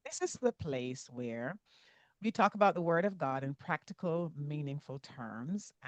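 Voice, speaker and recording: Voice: medium pitch, dry recording. Effusive faith podcast host. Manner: effusive, repeats phrases for emphasis. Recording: dull, dry lo-fi call audio.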